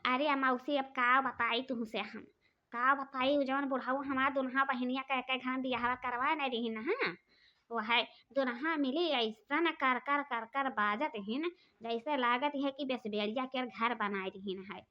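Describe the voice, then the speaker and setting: Woman doing a high-voiced awadhi sister-in-law, bossy and taunting, cartoon, muffled audio. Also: a little slow. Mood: chatty. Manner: scolding, taunting, loud. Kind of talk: cartoon voice acting. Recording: dull lo-fi recording.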